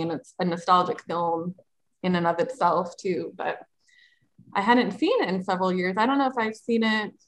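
A woman with a fairly low voice talks casually on a movie podcast. She rambles a little and leans on fillers like "like". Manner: chatty, nostalgic, filler-heavy. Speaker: podcast co-host.

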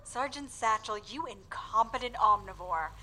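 A woman's voice: a little high, lively intonation, moderate pace, sharp tone. A woman voicing a scornful female raccoon general giving clipped, scolding commands.

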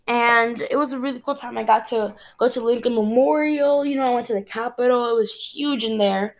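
A woman's voice: a little high, a little fast. A teenage girl from Cameroon hosting her own podcast, talking in a chatty, rambling, earnest way. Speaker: podcast host. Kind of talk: casual solo podcast chat.

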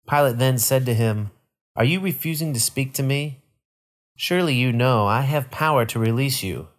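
A man reading a Bible narrative aloud in a solemn, measured and formal way. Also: mid-range voice. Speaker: scripture reader.

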